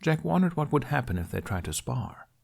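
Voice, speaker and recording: A man's voice: medium pitch, very animated, a little fast, vocal fry. A man reading a story aloud as scripted narration. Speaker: podfic reader.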